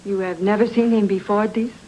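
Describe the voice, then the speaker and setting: Composed, formal society wife played by an actress. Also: female voice, mid-range voice, fairly even intonation, background noise, lightly acted. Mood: composed, firm. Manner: measured, formal, deliberate. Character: composed, firm, well-to-do married woman. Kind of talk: film noir radio drama acting. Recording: old-time radio drama, music underneath.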